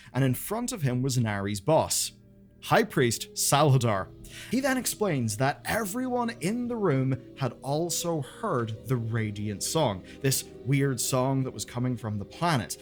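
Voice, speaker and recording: Animated male storyteller over music. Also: mid-range voice. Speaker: presenter of a video game lore channel. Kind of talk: dramatic scripted storytelling narration.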